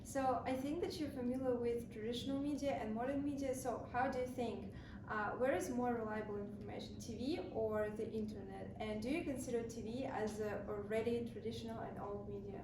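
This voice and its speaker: A woman's voice: on the high side, fairly even intonation, brisk. A young woman asking interview questions with slightly phrasing and encouraging murmurs. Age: young adult. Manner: slightly non-native phrasing, encouraging mm-hmms. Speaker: student interviewer. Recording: roomy, distant mic, noisy.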